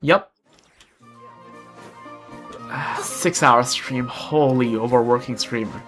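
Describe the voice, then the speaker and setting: Bright-voiced young man streaming a game. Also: medium pitch, slow, soft background music. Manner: rambling, self-deprecating, with many fillers and restarts. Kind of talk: casual gameplay livestream chat.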